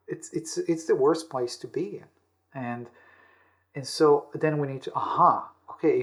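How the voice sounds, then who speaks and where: A man giving explanatory self-help answers as an interview guest, often repeating words and rephrasing in halting steps. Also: medium pitch. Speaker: interview guest, audiobook author.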